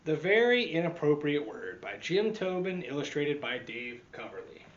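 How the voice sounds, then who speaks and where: A man reading a picture book aloud to children as a teacher, expressively, with dramatic swings and deliberate pauses. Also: fairly high pitch, sing-song, highs cut off, a little hiss or hum. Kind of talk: animated children's storytime read-aloud.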